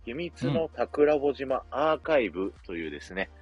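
A man's voice: mid-range voice, sing-song, moderate pace, husky, highs cut off, soft background music. A man co-hosting a live audio streaming show, chatting with his partner and making announcements.

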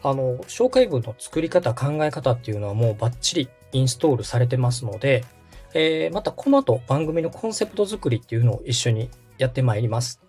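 A man in his thirties from Osaka hosts a podcast, walking his guest through the basics politely and patiently. His voice is mellow and slightly husky, with a lively rise and fall in pitch. Faint music plays behind him. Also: fairly quick. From Osaka, Japan. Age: 30s. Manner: polite, patient, step-by-step explaining. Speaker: podcast host, radio director. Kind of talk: explanatory podcast talk.

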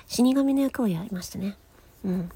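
A woman chatting casually on her own as a podcast host, in a giggly, chatty manner that trails into breathy asides. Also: mid-range voice, moderate pace, mellow. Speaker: podcast host and amateur voice actress. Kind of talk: casual solo chat.

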